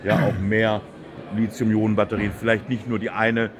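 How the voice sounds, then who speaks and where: A man's voice: on the low side, flat delivery, moderate pace, crowd noise. Chatty male trade fair interviewer. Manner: chatty, friendly, many agreeing "ja". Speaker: host. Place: exhibition hall.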